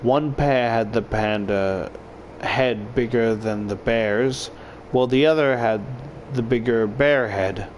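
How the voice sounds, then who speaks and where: A man's voice: mid-range voice, slow pace, slight background noise. A man reading first-person visual novel narration aloud as a YouTuber.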